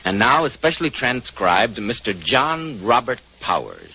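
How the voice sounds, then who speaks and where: A man's voice: mid-range voice, sing-song, measured pace, breathy edge. A man with an American accent announcing formally and with polish in the style of 1940s radio. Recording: dull 1940s radio recording. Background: music.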